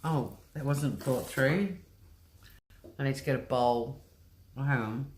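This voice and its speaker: A woman's voice: very deep voice, slow, a little mellow, slight background noise. A woman hosting a casual livestream from home, reading out viewer comments and rambling chattily with lots of ums and likes. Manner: chatty, rambling, often says um and like. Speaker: livestream host.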